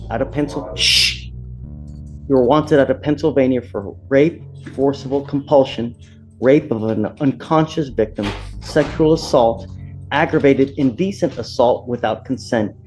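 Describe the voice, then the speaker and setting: A man's voice: mid-range voice, darkish tone, rough recording. A man speaking as a judge in a courtroom, informal, blunt and plain-spoken. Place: indoor courtroom. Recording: courtroom audio with music added.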